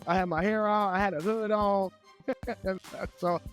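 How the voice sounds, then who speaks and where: High-voiced joking man. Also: fairly even intonation, a little slow, soft background music. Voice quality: high-pitched voice. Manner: joking, playful, uses slang. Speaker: podcast co-host. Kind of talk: casual podcast banter.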